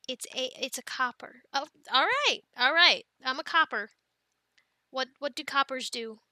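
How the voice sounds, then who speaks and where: A woman playing an affectionate, playful young mother in an ASMR family roleplay, talking gently and haltingly to her children. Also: high voice, no room sound. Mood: playful, bemused. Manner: gentle, halting, soft-spoken with playful bursts.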